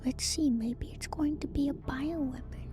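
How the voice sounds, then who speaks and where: A woman streamer whispering an ASMR lore commentary to her viewers in a hushed, musing, unhurried way. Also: high-pitched. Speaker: VTuber streamer. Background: music.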